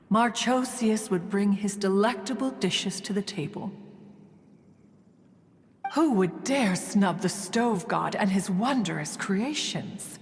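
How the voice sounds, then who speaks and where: A woman playing a proud, stately immortal adeptus in a video game, speaking in formal archaic phrasing and calling herself "one". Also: medium pitch. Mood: fond reminiscence. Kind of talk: scripted game dialogue, storytelling. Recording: reverberant hall sound, music behind.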